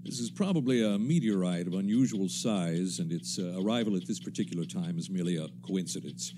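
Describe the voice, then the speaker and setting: An actor playing a measured, dismissive male astronomy professor in a 1930s-style radio drama, formal and slightly hesitant. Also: low-pitched, background noise, lightly acted. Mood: calm, dismissive.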